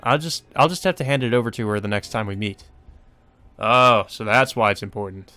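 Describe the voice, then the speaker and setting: A man's voice: lowish voice, brisk, pushed and bright. A man reading visual novel narration aloud and adding casual, informal asides, sometimes with swearing. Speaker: let's play host. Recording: music behind the voice. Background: game music.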